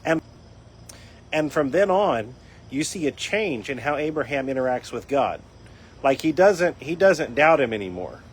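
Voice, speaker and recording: A man hosting a live faith and recovery talk, his voice a little raspy, explaining in an earnest teaching tone with frequent restarts. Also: medium pitch, animated, a little slow, slight background noise. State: raspy voice from dryness. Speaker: host. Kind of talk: casual talk on a live stream.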